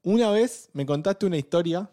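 A young man with an Argentine accent and a slightly higher voice talks casually with a friend. He gets excitable and swears freely. When something bugs him, he says it over and over. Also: moderate pace. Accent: Argentine Spanish. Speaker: podcast co-host. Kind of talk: casual chat.

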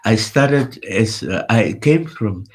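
Older man, Afrikaans speaker, very deep husky voice, halting interview answers with frequent restarts. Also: animated. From Namibia. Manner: halting, full of restarts and repeated words. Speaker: interviewee, former liberation activist and tour guide.

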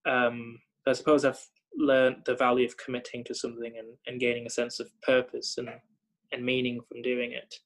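Young doctor from Thailand, low flat gravelly voice, halting reflective talk. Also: male voice, low-quality recording. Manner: thoughtful, many fillers and repeated starts. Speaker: hospital doctor. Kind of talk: reflective conversation.

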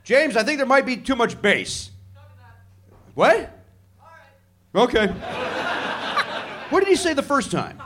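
A man's voice: extremely high pitch, sing-song, slow pace, pauses often, fairly bright tone. A man hosting a live comedy show in a theatre, riffing on the crowd with sarcastic, profane asides. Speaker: comedy podcast host. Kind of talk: live stand-up banter with the audience. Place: theatre stage before a live audience.

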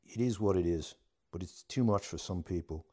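Audiobook role: male reader as a plain-spoken young man, weary, guarded, caring, low-voiced. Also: normal speed, dark tone. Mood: frank, resigned. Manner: frank, resigned, plain-spoken.

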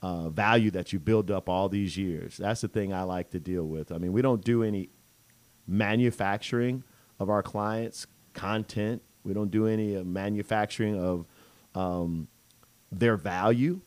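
A man's voice: lowish voice, unhurried, a little mellow, no echo. A man hosting a business radio talk show, chatting loosely with many fillers and restarts. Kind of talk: casual interview chat.

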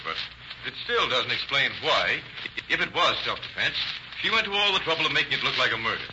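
A man's voice: mid-range voice, big pitch swings, fairly quick, natural voice. A man playing a blunt, direct police lieutenant in a radio crime drama, clipped and skeptical. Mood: puzzled. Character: brisk, blunt male police lieutenant pressing for answers. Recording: dull, noisy old radio recording.

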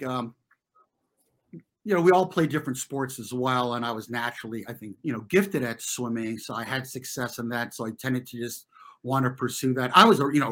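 A middle-aged man with an American accent, telling personal stories as an interview guest in an informal, halting way, often circling back to rephrase. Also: medium pitch, fairly bright tone. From US, New York and New Jersey. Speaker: interview guest, former competitive swimmer. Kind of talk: reflective conversational storytelling.

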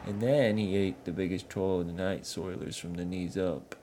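A man voicing a gruff, blunt male troll henchman who talks loudly in broken rustic grammar, over a noisy background hiss. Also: sits low, fairly even intonation, normal speed, natural voice. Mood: gossipy.

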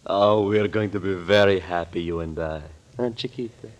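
An actor playing an excitable, devoted Latin lover in an old radio thriller, with a Spanish accent and effusive broken English. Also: male voice, low-pitched, moderate pace, close to an everyday voice. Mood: tender, soothing.

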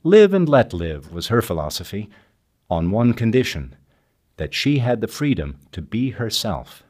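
A man's voice: lowish voice, sing-song, unhurried, a little mellow, slightly rough. A man hosting and reading scripted narration in a documentary style. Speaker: host and narrator.

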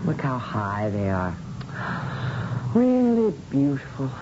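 A woman's voice: rumbling low, wildly swooping pitch, slow, heavily acted. An actress playing a curious, thoughtful woman scientist in an old-time radio science fiction drama. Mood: wondering. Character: curious, thoughtful female scientist, ageless space explorer. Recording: dull, noisy old radio recording.

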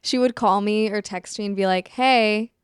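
A young woman co-hosting a podcast, telling stories in an animated, chatty way. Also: medium pitch, moderate pace, clean tone, dry recording, clean studio sound. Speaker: podcast co-host. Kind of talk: casual chat.

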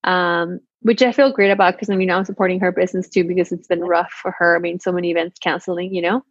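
A woman in her thirties chatting casually and telling stories in an animated way, quoting exchanges as she goes. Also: lowish voice, fast talker, husky, no echo. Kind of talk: casual chat. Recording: slightly muffled, lo-fi audio.

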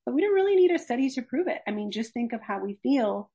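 A woman's voice: fairly high pitch, very animated, fast, mellow, husky. A young woman from Los Angeles, a gerontology faculty member, explaining health principles earnestly in a conversational interview. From Los Angeles, USA. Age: young adult.

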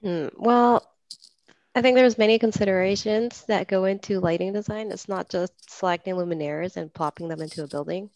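Woman from Peru with a gravelly voice. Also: medium pitch, normal speed, a little mellow, no room sound, muffled recording. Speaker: lighting designer guest. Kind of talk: podcast interview.

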